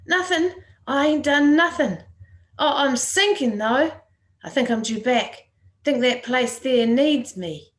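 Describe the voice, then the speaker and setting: A woman voicing a dazed, excitable country boy who talks insistently in rustic dialect in a literary story. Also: high voice, slow pace. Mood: dreamy, insistent.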